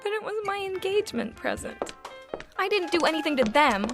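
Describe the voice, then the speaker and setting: A woman's voice: extremely high pitch, sing-song, brisk, over music. A woman playing a plaintive, distraught young starlet in a 1950s-style radio drama. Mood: distraught, pouting. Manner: plaintive, whining.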